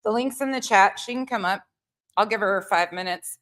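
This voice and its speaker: Woman doing live commentary, blunt and combative, swears a lot, average pitch. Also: normal speed, fairly bright tone. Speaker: livestream host.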